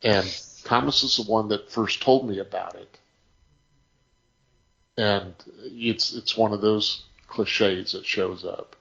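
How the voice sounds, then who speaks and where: A man telling anecdotes in casual podcast chat, speaking in short phrases broken by pauses. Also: on the low side, steady tone, creaky, a bit bright, lo-fi sound. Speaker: podcast co-host.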